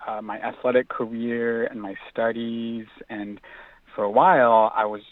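A man's voice: medium pitch, fairly even intonation, a little slow, no echo. A young adult man, an author and mental health advocate, calling in to a radio interview and speaking earnestly and reflectively, with frequent fillers. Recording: tinny phone line, dry.

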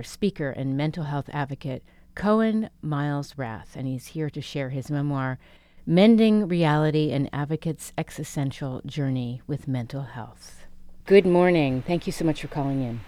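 A woman's voice: sits low, a little mellow, no room sound. A woman hosting a radio show, interviewing a guest in an encouraging way.

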